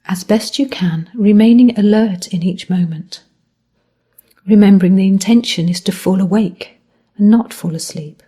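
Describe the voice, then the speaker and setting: A woman teaches mindfulness through a guided body scan. Her voice is soft-edged and mellow, with a husky, breathy grain. She delivers each phrase gently and with measured care, letting the endings fall away softly. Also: mid-range voice.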